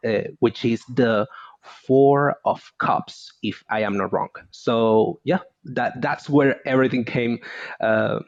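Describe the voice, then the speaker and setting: A man's voice: mid-range voice, fairly even intonation, slow pace, dry recording. Venezuelan man, hesitant, dull dry sound. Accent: Venezuelan Spanish. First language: Spanish. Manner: hesitant, searching for words. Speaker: guest. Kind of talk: casual chat.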